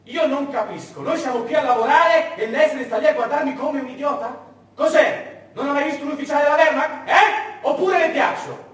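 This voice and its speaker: An actor playing an arrogant, bullying German army officer who barks taunting questions in a theatrical monologue. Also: male voice, very high voice, fairly quick, fairly bright tone, pitched above the performer's own voice. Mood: angry, taunting. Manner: barking, taunting rhetorical questions. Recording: echoey room, far from the mic.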